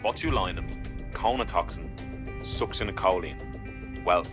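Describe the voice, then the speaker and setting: An actor playing a cold-blooded scientist villain in a video game, speaking in a clinical, condescending way. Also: male voice, low voice, unhurried, music in the background. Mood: smug, clinical.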